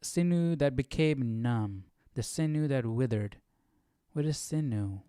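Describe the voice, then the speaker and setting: A man's voice: medium pitch, animated, unhurried, dark tone, clean tone, no room sound, clean studio sound. A man giving casual, informal study commentary, musing aloud as a host. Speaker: Bible study livestream host.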